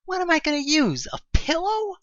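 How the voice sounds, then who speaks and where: A panicked explorer in a horror audio play, acted by a man, exclaims in a high, strained pitch that swoops around. The sound is dry and a little dull. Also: wildly swooping pitch, normal speed, a bit bright, dry recording, heavily acted. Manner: alarmed, high-strung exclaiming. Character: panicked, frightened explorer of a haunted hotel.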